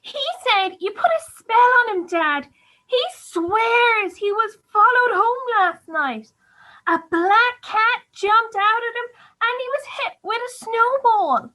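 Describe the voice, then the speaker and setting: Casting: woman as bold sulky teen girl, very high bright voice, slower delivery, radio play. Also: exaggerated voice, poor audio. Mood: sulky, complaining. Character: sulky, bold teenage daughter. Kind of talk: amateur radio drama acting.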